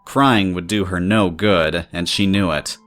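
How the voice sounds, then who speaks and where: Low-pitched male story narrator. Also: a little slow, fairly bright tone, faint music behind.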